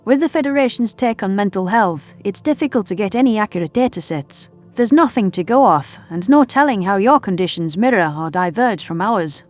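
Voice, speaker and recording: A woman acting a kindly but firm scientist in a sci-fi audio drama. She explains patiently at a middle pitch, over faint music. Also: lively intonation, brisk, few pauses, close to an everyday voice. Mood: patient explaining. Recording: music under the voice.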